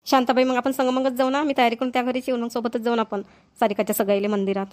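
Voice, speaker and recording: A homely middle-aged cartoon mother played by an actress speaks fast in a fairly high voice. She is simple and agreeable, with a Vidarbha Marathi accent. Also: flat delivery, a little mellow, lightly acted. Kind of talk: amateur cartoon dialogue.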